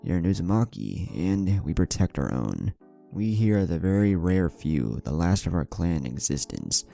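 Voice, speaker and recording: A man's voice: low-pitched, big pitch swings, normal speed, vocal fry, mellow, faint music behind, full character voice. A man voicing a proud, protective older woman who leads a village, speaking soothingly and formally in a story.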